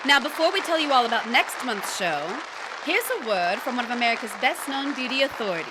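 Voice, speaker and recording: An actress playing a polite 1940s radio co-host who introduces sponsor segments. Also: female voice, a little high, lively intonation, fairly quick, close to an everyday voice. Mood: polite, upbeat. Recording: noisy live room, loud crowd. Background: loud audience noise.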